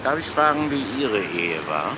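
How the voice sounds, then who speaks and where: An actor playing a polite, quietly probing police inspector in a crime drama, understated and questioning. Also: male voice, fairly low pitch, sing-song, moderate pace. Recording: noisy old film soundtrack.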